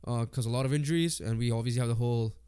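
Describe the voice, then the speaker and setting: A man's voice: mid-range voice, mellow, clear, resonant tone. A congested man co-hosting a casual sports podcast, reading off lists with lots of fillers. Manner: reads off lists haltingly with fillers. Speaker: podcast co-host.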